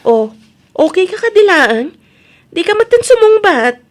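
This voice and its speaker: A woman with an Ilocano Filipino accent acting a sharp-tongued, bossy shop-owning aunt in a comedy radio drama, emphatic and nosy. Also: extremely high pitch, big pitch swings, measured pace, exaggerated voice. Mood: nosy, animated.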